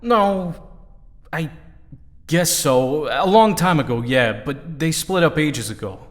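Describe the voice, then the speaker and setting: An actor playing a grieving young superhero in an audio drama, earnest and halting in his delivery. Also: on the high side, expressive, no echo, studio quality. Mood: confiding. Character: conflicted grieving young male superhero team leader.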